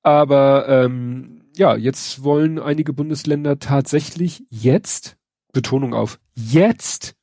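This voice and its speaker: Verschnupfter Mann mit knarziger, dunkler Stimme. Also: male voice, medium pitch, slow, mellow, no room sound, studio quality. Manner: wry, sarcastic, hesitant with many fillers. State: stuffy nose from a cold. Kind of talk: casual news chat.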